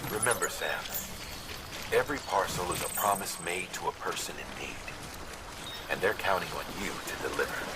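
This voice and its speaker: An actor playing an authoritative director in a video game, giving a measured, gruff mission briefing. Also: male voice, sits low, animated, reverberant, long reverb tail, far from the mic, heavy background noise. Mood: solemn, encouraging. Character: gruff commanding male director briefing an agent. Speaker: mission commander.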